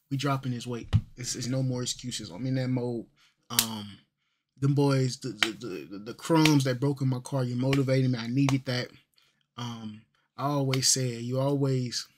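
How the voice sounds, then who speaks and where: A laid-back man rambles through a casual vlog, full of fillers and slang. His voice sits at an ordinary middle pitch. He talks into a desk mic in a small bedroom. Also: a little mellow. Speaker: vlogger. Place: small bedroom with gaming setup. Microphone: desk microphone.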